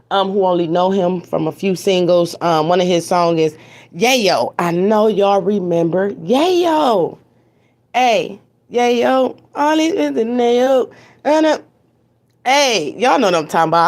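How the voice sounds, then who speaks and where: A woman hosts her show from home, her voice hoarse and raspy from a cold and a stuffy nose. She talks loosely and warmly to her audience, repeating phrases as she goes. Her pitch sits in an ordinary middle range for a woman. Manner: chatty, repeats phrases, talks straight to viewers. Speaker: host of an online news talk show. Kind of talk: casual livestream chat. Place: home with TVs on in the background.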